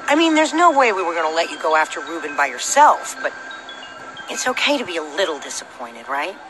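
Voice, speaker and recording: A man voicing a gentle, worried, sympathetic young male friend in a video game, with an anxious manner. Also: fairly high pitch, sing-song, a little fast, noisy background. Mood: sheepish, disappointed. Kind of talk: animated game dialogue.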